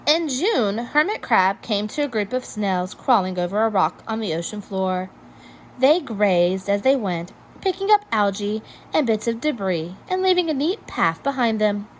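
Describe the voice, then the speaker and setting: Woman reading a storybook with lively intonation. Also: medium pitch, normal speed, slight background noise, poor audio. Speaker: storybook reader. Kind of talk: children's read-aloud narration.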